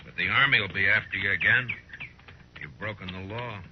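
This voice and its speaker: An actor playing a steady, tough, plain-spoken frontier marshal in a 1950s radio western, with a terse, world-weary delivery. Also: male voice, lowish voice, brisk, close to an everyday voice. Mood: firm, challenging. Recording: dull, faintly noisy 1950s radio recording.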